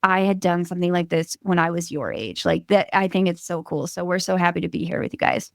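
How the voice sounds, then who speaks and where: A woman's voice: on the low side, fairly even intonation, a little fast, gravelly, no room sound, studio quality. A woman in her late twenties, a guest on a podcast, chatting casually and eagerly. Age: late 20s. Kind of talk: casual chat.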